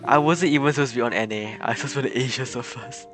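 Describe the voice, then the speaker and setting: A man live-streaming a game, giving casual, halting, reactive commentary with a self-deprecating streak. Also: mid-range voice. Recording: game music behind the voice. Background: game music.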